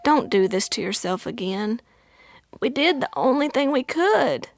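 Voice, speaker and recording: A woman playing a kindly, motherly older aunt with a gravelly drawl in an audio drama. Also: fairly high pitch, sing-song, measured pace, no echo, full character voice. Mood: worried.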